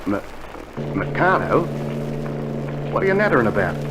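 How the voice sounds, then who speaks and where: An actor with an Australian accent playing a blunt, stubborn army soldier in an old radio drama. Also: male voice, fairly high pitch, sing-song, normal speed, loud music behind the voice. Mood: dismissive. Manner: grumbling, dismissive. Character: blunt, unimpressed Australian soldier, stubborn literal-minded mate. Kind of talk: 1960s radio drama acting. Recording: vintage vinyl radio play, noisy background.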